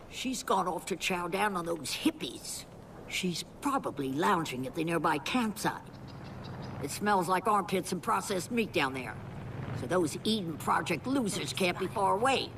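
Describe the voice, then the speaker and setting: A woman's voice: lowish voice, a bit bright, far from the mic, slight background noise, heavily acted. Cranky old country woman, swooping delivery. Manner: crotchety, sardonic, blunt. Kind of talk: video game mission dialogue.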